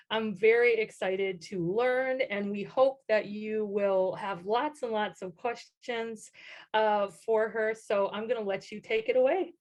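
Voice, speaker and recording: A woman's voice: medium pitch, a little mellow. A woman hosting a webinar, introducing the guest speaker with many fillers. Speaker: webinar host.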